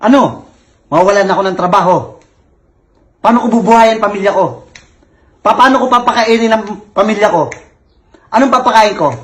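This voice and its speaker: High-voiced male comic ranting with a thick lisp, aggrieved and insistent. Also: moderate pace, long pauses. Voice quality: heavy lisp, s turned to t. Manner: angry, complaining, repetitive. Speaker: self-styled actor on social media. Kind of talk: comic rant.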